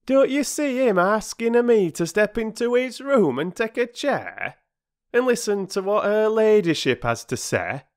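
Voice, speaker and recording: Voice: mid-range voice, lively intonation, a little slow, clean tone, pitched above the performer's own voice, natural voice. A narrator voicing an indignant working-class railway porter from a children's storybook, lofty and aggrieved, with ungrammatical speech. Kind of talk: children's storybook character reading.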